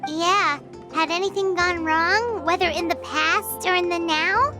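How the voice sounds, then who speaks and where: Very high squeaky little fairy. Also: female voice, a little slow, pushed and bright, soft background music, full character voice. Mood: earnest. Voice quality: squeaky, childlike. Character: tiny childlike fairy companion, squeaky and excitable. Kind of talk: video game voice acting.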